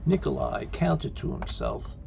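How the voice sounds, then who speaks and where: A male narrator reads fiction in a mellow, heavily creaky voice. His delivery is measured and literary, over faint noise. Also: medium pitch. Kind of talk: scripted short-story narration.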